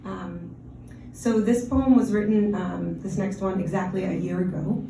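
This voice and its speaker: A woman poet, from Ukraine and raised in the Washington DC area, with Russian as her first language, giving a measured, deliberate poetry reading with brief casual asides. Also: mid-range voice, steady tone, vocal fry, mellow, slight background noise. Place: reading venue, roomy space. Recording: roomy live recording from a distance.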